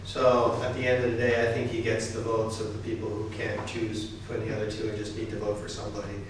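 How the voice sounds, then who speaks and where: A man's voice: fairly low pitch, monotone, quick pace, slight background noise. A middle-aged American man, a political consultant, answering matter-of-factly on a panel in a university lecture hall. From United States. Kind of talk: panel discussion answering questions. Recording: echoey lecture hall from far off.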